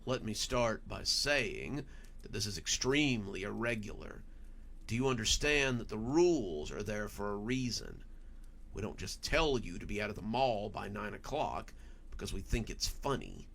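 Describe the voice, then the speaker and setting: A man's voice: lowish voice, expressive, moderate pace, creaky, a bit bright, a little hiss or hum. A man voicing a stern, serious general manager in a horror story, weighing his words carefully. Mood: stern, measured. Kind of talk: audiobook horror story dialogue.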